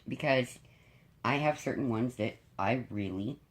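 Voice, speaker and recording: Congested middle-aged woman with a cold, raspy and frank, for a chatty home vlog. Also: extremely low pitch, a little slow. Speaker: diamond painting YouTuber. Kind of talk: casual chat to viewers.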